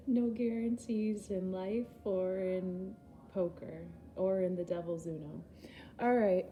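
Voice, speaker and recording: Slow, mellow-voiced tarot reader woman. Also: fairly low pitch, a little hiss or hum. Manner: rambling and chatty, laughing at herself. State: groggy from poor sleep. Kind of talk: casual tarot reading.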